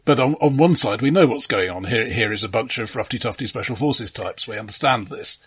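A man co-hosting a casual film discussion, analytical, with a halting delivery that repeats the starts of words. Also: mid-range voice, husky. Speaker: film podcast co-host.

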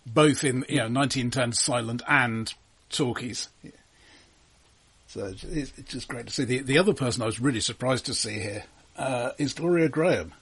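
A man co-hosting a casual film discussion, giving information in a halting, dryly humorous way. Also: medium pitch, a bit bright, slightly rough. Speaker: film podcast co-host.

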